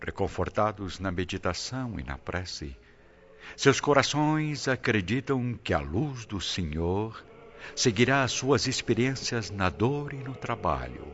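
A man's voice: fairly low pitch, very animated, unhurried, a bit bright. A man narrating a radio soap opera in a solemn, grandiloquent style. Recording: dull lo-fi audio with music behind.

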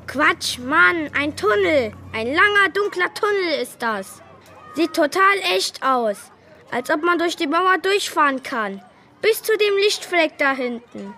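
A performer playing a cheeky, excitable schoolboy in a children's radio play, talking in enthusiastic slang. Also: very high-pitched, unhurried, slight background noise, heavily acted. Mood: excited. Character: cheeky, excitable schoolboy, loyal best friend.

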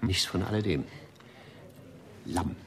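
An actor playing a pompous, fastidious gentleman gourmet in a German radio drama, lecturing formally and rhapsodizing about food. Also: deep voice. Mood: reverent, rapt. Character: pompous, fastidious gentleman gourmet, obsessively devoted to one restaurant.

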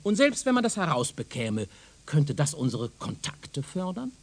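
Pompous, wildly animated professor. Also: male voice, fairly high pitch, moderate pace. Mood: dismissive, condescending. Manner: pompous, didactic, declamatory, condescending. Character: pompous, self-assured male professor lecturing on his doctrine. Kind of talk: German radio drama acting.

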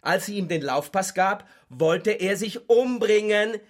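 A man's voice: high-pitched, expressive, measured pace. An actor playing a nervous, evasive male salesman in a radio play, stammering and agitated, turning defiant.

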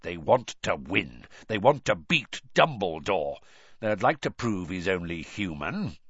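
A man voicing a gruff, shrewd old wizard teacher who growls out blunt advice in an audiobook. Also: sits low, very animated, measured pace, a bit bright, no room sound, dull audio, voice pushed down, exaggerated voice. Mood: knowing.

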